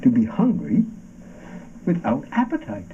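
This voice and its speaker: An actor playing an anguished philosophical man haunted by his body, declaiming haltingly in an avant-garde stage drama. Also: fairly high pitch, wildly swooping pitch, creaky, warm tone, heavily acted. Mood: insistent. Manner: halting, theatrical, swooping declamation. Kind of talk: avant-garde theatrical performance of a radio play. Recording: dull, muffled stage recording with noise.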